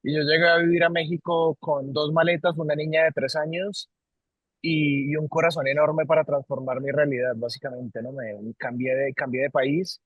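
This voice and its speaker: A man's voice: on the high side, little pitch movement, normal speed, breathy edge, dry recording, narrow, tinny audio. A man with a Colombian accent, a company leader answering interview questions in an earnest, reflective way, often repeating words and ending sentences with "¿no?". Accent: Colombian Spanish. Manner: earnest, repeats words while searching for them, ends lines with "¿no?". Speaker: guest, company CEO.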